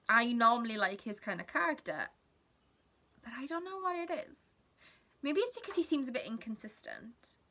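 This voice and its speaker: Welsh girl in her 20s rambling on in a vlog, kinda high voice, bright and forward. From Wales. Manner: chatty, rambling, giggly asides. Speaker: vlogger. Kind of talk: casual vlog chat.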